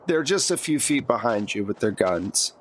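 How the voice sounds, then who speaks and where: A man's voice: medium pitch, big pitch swings, normal speed, slight background noise. A man narrating a tabletop roleplaying game as game master, describing scenes and putting questions to players. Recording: music behind the voice.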